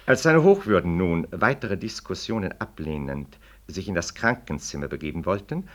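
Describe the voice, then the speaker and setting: An actor playing a pompous, self-righteous medical professor in a 1960s radio play, formal and declamatory. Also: male voice, deep voice, animated, a little slow. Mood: declamatory, pointed.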